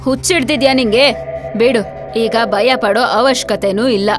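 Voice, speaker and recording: ನಟಿಯೊಬ್ಬಳು ಅಭಿನಯಿಸಿದ ನಿಗೂಢ ಕಥೆ ಹೇಳುವ ಢಾಬಾ ಒಡತಿ. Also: female voice, fairly high pitch, a little slow, music in the background, natural voice. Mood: confident, reassuring. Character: motherly, ominous eatery woman telling ghost tales.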